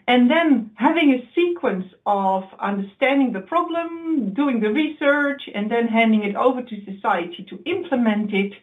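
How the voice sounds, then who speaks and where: A woman with a fairly high voice presents research slides in a steady, explanatory webinar talk. The sound is narrow and tinny, like a phone line or a video call. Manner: measured, explanatory, with occasional slips and restarts.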